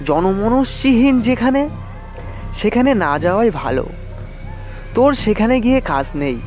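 A protective village mother as a man narrates her in an audio horror story. The voice is high, cautioning and coaxing. Music runs underneath. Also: expressive, measured pace, darkish tone.

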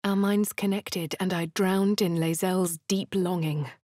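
A woman's voice: fairly low pitch, steady tone, a little slow, no echo, clean studio sound, close to an everyday voice. A woman voicing a posh English heroine. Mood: brooding. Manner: cycles between quiet inner narration, hushed whispers and shouted spell incantations. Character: posh young female adventurer narrating her thoughts. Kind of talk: game voice lines.

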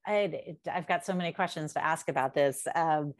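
A middle-aged woman hosting an interview over a video call, asking slow, thoughtful questions. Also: deep voice, dry recording, studio quality. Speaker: interview host. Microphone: video call with earphone mic.

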